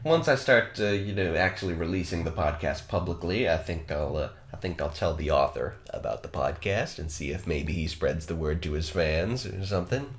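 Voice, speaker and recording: A man with a low voice hosts a casual podcast from home, recapping a story with earnest enthusiasm. He sounds a bit self-conscious and chuckles now and then. The recording carries a noticeable room echo. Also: expressive, normal speed, few pauses. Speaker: podcast host. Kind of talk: casual podcast narration and chat.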